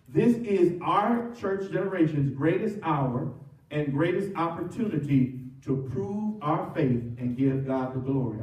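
A middle-aged man preaching a sermon as pastor, animated and full of call-and-response. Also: a little high. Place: church sanctuary with cross wall. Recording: roomy church from far off.

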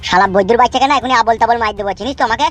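A cocky male cartoon sidekick, voiced by a woman with a high voice and a very fast delivery. The talk is slangy and sharp-tongued, over faint music. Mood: mocking. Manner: mocking, slangy, swearing. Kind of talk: comedy cartoon dialogue.

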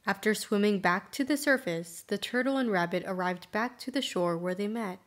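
A woman narrating a scripted folktale as storyteller, in measured, clearly phrased sentences. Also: medium pitch.

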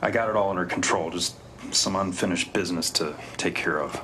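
A man's voice: low-pitched, fairly quick, background noise, lightly acted. An actor playing a weary, guarded American convict in his thirties in a video game, terse and evasive. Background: background music.

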